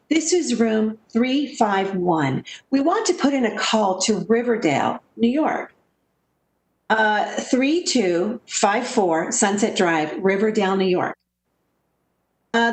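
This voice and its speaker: A woman's voice: on the high side, reverberant. A woman playing a sensible, protective friend in 1940s-style radio theater, coaxing and theatrical. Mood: businesslike.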